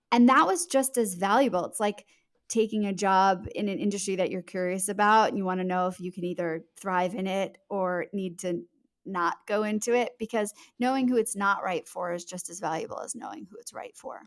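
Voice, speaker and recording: Female author, podcast guest. Mid-pitched, conversational, emphatic and explanatory, phrasing things deliberately. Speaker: author being interviewed.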